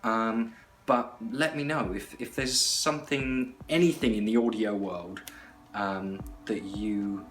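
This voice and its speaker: Low-voiced man, informal rambling explainer. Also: fairly even intonation, takes it slowly, fairly bright tone. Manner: conversational, rambling, repeats himself. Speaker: host of an audio tutorial channel. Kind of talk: informal explainer talk to camera.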